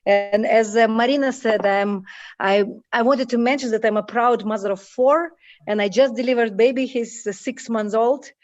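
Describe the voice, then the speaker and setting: A woman from Kyrgyzstan with a Kyrgyz accent, making enthusiastic, informal panel remarks in halting non-native phrasing. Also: a little high. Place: online video call. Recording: dull lo-fi video call audio.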